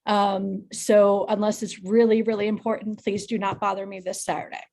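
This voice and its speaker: Even-toned woman with a creaky voice. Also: mid-range voice, moderate pace. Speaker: production coordinator making announcements. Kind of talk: casual meeting announcements. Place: online video call.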